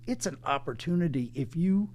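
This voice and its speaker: A man's voice: a little high, expressive, normal speed, darkish tone. Easygoing man telling club stories. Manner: easygoing storyteller, long explanations. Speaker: comedy club pianist. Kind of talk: casual chat.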